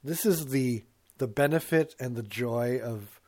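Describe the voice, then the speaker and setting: A man's voice: medium pitch, unhurried, warm tone. Middle-aged man chatting, self-mocking and rambling. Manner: self-mocking, rambling, acts out quotes in put-on voices. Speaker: co-host of a talk show. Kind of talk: casual comic chat.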